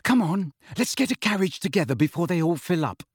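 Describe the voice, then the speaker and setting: A man voicing a brisk, bossy and indignant teenage girl in an audiobook, speaking emphatically. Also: high voice, very animated, breathy edge, no echo, studio quality, voice pushed up, exaggerated voice. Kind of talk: audiobook character acting.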